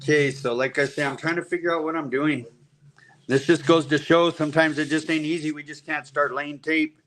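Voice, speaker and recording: A man's voice: fairly high pitch, steady tone, brisk, a bit bright, a little hiss or hum. A man hosting a live custom paint demo in his shop, folksy and easygoing, thinking aloud while he works.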